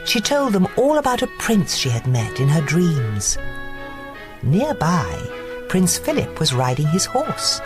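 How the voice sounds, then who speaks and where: A woman narrating a bedtime fairy tale, slow and expressive, with music behind. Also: fairly low pitch. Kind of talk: bedtime story reading.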